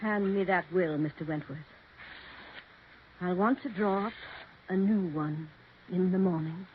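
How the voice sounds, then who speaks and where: An actress playing a resolute family matriarch in a 1940s radio mystery. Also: female voice, lowish voice, a little slow, lightly acted. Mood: grim, resolved. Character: resolute family matriarch, an aunt handling a will. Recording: tinny, noisy 1940s radio recording.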